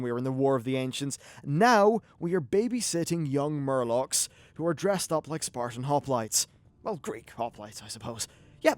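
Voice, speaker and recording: A man's voice: medium pitch, no echo. A man giving casual, off-the-cuff video game commentary as host, chatty and wry, with some swearing.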